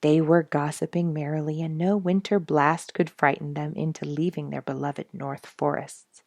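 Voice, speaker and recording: Creaky-voiced woman reading a storybook aloud. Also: deep voice, flat delivery, normal speed, darkish tone, no echo, studio quality. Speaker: children's story reader, regular of the show. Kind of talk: expressive storybook read-aloud narration.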